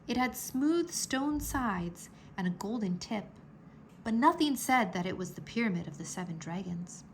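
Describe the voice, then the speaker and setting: A woman narrating a children's storybook aloud in a deliberate, dramatized way. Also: medium pitch, lively intonation, a little hiss or hum.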